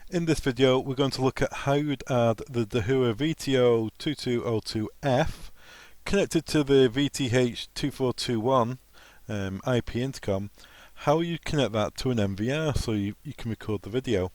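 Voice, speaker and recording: A man's voice: medium pitch, moderate pace, hardly any pauses, a little mellow, no room sound. A man presenting an informal technical training walkthrough, explaining each step plainly as a trainer. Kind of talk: software walkthrough tutorial, informal instruction.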